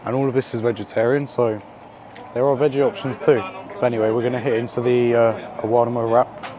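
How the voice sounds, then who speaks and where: A man hosting a casual food vlog while out in the city, his voice croaky from being ill, chatty and upbeat with lots of slang and fillers. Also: medium pitch, little pitch movement, brisk, a crowd in the background. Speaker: food vlogger. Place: out and about in city streets and a small eatery.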